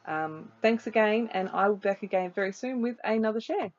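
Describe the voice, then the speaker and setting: A woman with a slightly croaky voice, casually narrating a voice-over for her craft video in a chatty way with frequent ums. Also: mid-range voice, fairly quick, muffled recording.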